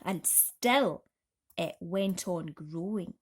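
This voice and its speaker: A woman's voice: fairly low pitch, very slow, breathy edge. A woman reading a children's bedtime story expressively, with dramatic emphasis, as a host talking to young listeners.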